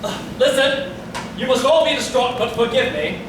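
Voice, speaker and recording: Man playing a high-voiced, brisk police inspector. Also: animated. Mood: businesslike. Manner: formal, declamatory, old-fashioned. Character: brisk, courteous police inspector in a murder mystery. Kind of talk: staged farce reading. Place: theatre staged reading, distant mic. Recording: echoey hall from far off, noisy.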